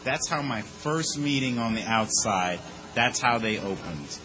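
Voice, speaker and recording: A man telling a humorous personal story to a group in a lively, conversational way, with quick asides. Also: mid-range voice, music in the background, rough recording. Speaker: speaker sharing his recovery story.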